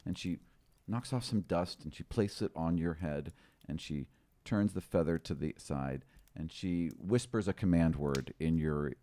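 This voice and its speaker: A man's voice: sits low, measured pace, mellow, dry recording. A man in his forties narrating an improvised tabletop game as game master, close on a studio microphone. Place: small room, indoors. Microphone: close studio microphone on stand.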